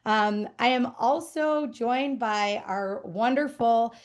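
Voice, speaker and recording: Female webinar moderator, voice on the high side, delivering semi-scripted introductions. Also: slow pace.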